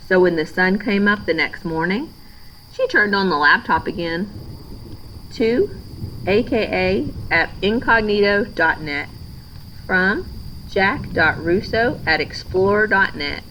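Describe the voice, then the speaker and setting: A woman reading a children's storybook aloud in a measured, expressive way. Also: medium pitch, dull audio, a little hiss or hum. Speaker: storybook reader. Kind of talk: scripted children's story narration, read aloud.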